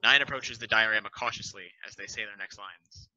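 A man's voice: fairly low pitch, a little fast, pushed and bright. A man plainly reading stage directions aloud at a table read over a video call headset. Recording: dull lo-fi call audio.

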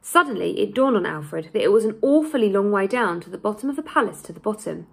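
Creaky-voiced young woman reading a storybook. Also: mid-range voice, animated, fast talker. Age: young adult. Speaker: primary school teacher reading aloud. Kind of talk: children's storybook read-aloud. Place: small indoor room, plain wall.

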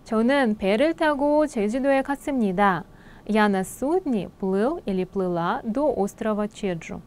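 A young woman teaching a Korean exam lesson in Russian. She reads each Korean sentence quickly and then translates it slowly and carefully. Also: fairly high pitch, animated, a little mellow.

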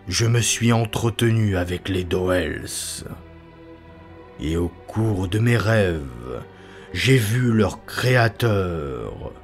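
A man voicing a haunted, awestruck occult writer in an audiobook, speaking in a halting, dramatic way. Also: on the low side, exaggerated voice. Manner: halting, dramatic, hesitant pauses. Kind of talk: dramatic audiobook character reading.

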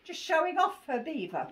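A woman's voice: sits high, huge pitch swings, unhurried. Giggly 80-year-old English woman reading aloud. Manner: giggly, often breaking into laughter while reading. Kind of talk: amused read-aloud of a rhyming picture book. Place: small cluttered living room. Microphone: phone camera a few feet away. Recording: faint noise, clicks, home phone video.

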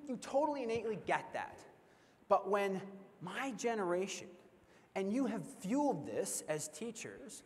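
A man in his thirties delivers a lively keynote on a large convention stage and acts out the reactions he quotes. His voice sits high, and a long reverb rolls through the hall behind his headset mic. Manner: animated, acts out quoted reactions, repeats words when excited. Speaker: keynote speaker. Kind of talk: energetic conference keynote.

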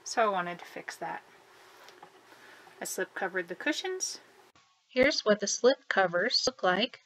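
A woman's voice: mid-range voice, expressive, measured pace, a bit bright, slight background noise, rough recording. A woman in her thirties giving a chatty, upbeat tour of her camper renovation, filmed inside a small camper trailer. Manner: chatty and upbeat, showing things off. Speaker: vlogger. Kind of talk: casual home renovation tour.

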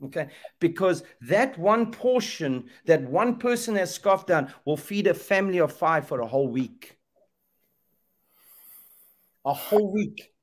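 A man's voice: high voice, expressive, measured pace. Emphatic man preaching on a video call. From Zambia. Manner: emphatic, repeats phrases, asks rhetorical questions. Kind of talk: impassioned preaching talk. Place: indoor room, video call. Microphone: webcam video call.

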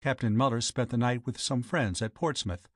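Man putting on a quiet, cold old landlady. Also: medium pitch, normal speed, no room sound, studio quality, natural voice. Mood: quiet, controlled. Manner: quiet, terse, decisive. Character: gaunt, formidable, expressionless old boarding-house landlady. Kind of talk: audiobook character voice.

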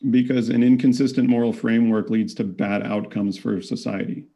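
Mellow-voiced man arguing a debate point. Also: mid-range voice, flat delivery, normal speed. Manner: reasoned, argumentative. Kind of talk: online debate. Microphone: webcam video call.

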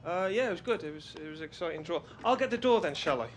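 A man's voice: a little high, expressive, fast, slight background noise, lightly acted. A man playing a cheeky, easygoing young British footballer in a video game. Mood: bantering. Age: young adult. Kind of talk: video game cutscene dialogue.

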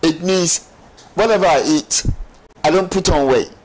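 A middle-aged man, explaining as a talk-show guest in emphatic, deliberate phrases. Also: a little high, rough recording. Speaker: studio guest. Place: TV studio lounge set. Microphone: lapel mic.

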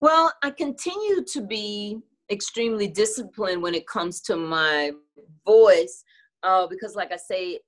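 A woman's voice: mid-range voice, animated, unhurried. Candid middle-aged woman on a video call. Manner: candid, reflective, laughs easily. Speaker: interview guest, singer. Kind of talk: casual video-call interview. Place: home room with clothing rack. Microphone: video call webcam.

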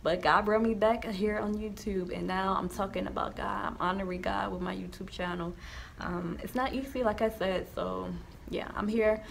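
A young woman with a slight lisp speaks casually to her camera in a small indoor room. Her voice is gravelly with vocal fry, and her talk rambles with frequent ums and restarts. A faint hiss sits under her voice. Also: mid-range voice, fairly even intonation, normal speed. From New Jersey, USA. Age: young adult. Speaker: YouTuber sharing her testimony.

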